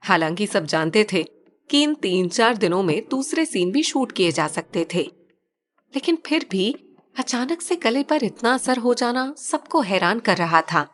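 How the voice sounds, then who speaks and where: A woman narrating a story audiobook in a steady, even storytelling voice. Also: mid-range voice, measured pace.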